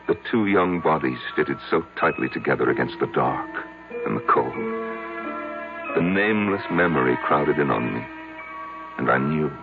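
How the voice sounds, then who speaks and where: A deep-voiced older man confesses something cruel, haunted and lonely. He halts, repeats words and pauses dramatically. The recording is dull vintage radio drama with music behind. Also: expressive, creaky, full character voice. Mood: brooding, haunted. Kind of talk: old-time radio drama acting, first-person confession.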